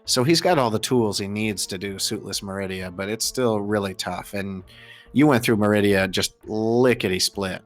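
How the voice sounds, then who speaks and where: A man's voice: fairly low pitch, moderate pace, soft background music. An American man commentating and interviewing on a live gaming race in a casual, chatty, jokey way. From United States. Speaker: host and commentator.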